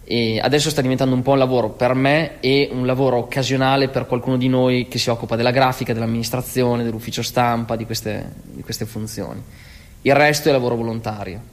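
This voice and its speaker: A man interviewed about running a theatre project, speaking hesitantly with many pauses. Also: mid-range voice, steady tone. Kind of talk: documentary testimony. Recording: reverberant room.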